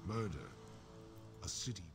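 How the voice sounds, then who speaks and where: An actor playing a bitter, imprisoned rebel in a video game, speaking in a grave, accusing way. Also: male voice, low voice, big pitch swings, unhurried, full character voice. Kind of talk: dramatic video game dialogue. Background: game music.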